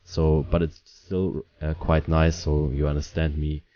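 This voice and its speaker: A man giving a casual product review, explaining things in simple, halting phrases. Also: rumbling low, little pitch movement, muffled recording.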